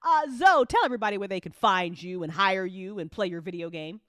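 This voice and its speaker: A woman's voice: medium pitch, expressive, a little fast, a bit bright, clear, resonant tone, no echo, clean studio sound. A woman hosting a casual live chat show, exuberant and slangy, teasing people and swearing freely. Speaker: host of audio drama after show, show creator.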